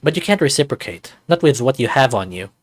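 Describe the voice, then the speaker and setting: A narrator reading a visual novel aloud in an expressive, playful way, with bits of casual commentary. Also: medium pitch, quick pace, no echo. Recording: dry recording with music behind.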